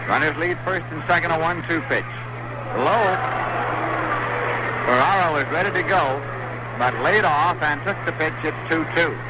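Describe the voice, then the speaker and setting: High-voiced man calling baseball on old radio. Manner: measured, calm calling that lifts on big plays. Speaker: baseball play-by-play announcer. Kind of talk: radio play-by-play commentary. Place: stadium broadcast booth. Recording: noisy old 1960s radio broadcast.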